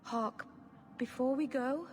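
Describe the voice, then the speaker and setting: A woman's voice: high-pitched, steady tone, takes it slowly, a little mellow, long reverb tail, soft background music. An actress playing a sweet, dreamy, anxious young woman companion in a video game. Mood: dreamy, uneasy. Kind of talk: video game cutscene acting.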